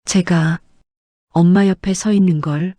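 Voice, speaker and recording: A woman's voice: fairly low pitch, steady tone, a little slow, dark tone, no room sound, natural voice. A woman storyteller voicing a remorseful grown son confessing in a subdued, hesitant way in a narrated story. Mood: remorseful, ashamed.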